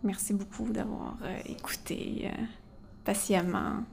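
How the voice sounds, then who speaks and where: A woman moderator politely thanking her guests, pausing with small fillers. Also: medium pitch, steady tone, vocal fry, a little mellow, whispered. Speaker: event moderator. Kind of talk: closing thanks.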